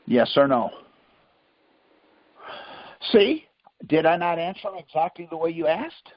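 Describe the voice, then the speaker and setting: A man's voice: fairly high pitch, sing-song, a little slow, no room sound. A man coaching by question and answer, insistently quizzing his listener in a Socratic way on a conference call. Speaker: teacher leading a group conference call. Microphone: conference phone call. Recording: muffled, lo-fi conference call audio.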